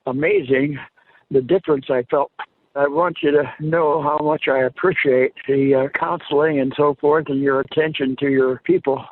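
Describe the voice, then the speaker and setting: Elderly man on a tinny phone line. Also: medium pitch. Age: 80s. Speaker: customer giving a testimonial. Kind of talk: casual spoken testimonial.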